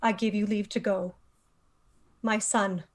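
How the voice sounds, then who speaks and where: A woman playing a stern, commanding leader of a mystic order in a dramatic screenplay table read, authoritative and measured. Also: mid-range voice, steady tone, close to an everyday voice. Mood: coolly commanding.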